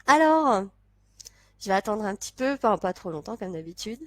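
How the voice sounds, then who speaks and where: A woman's voice: mid-range voice, very animated, normal speed, a bit bright. A young woman chatting to camera with a congested, stuffy voice, laughing often and restarting her sentences. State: congested from a cold. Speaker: vlogger. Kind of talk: casual chat to camera.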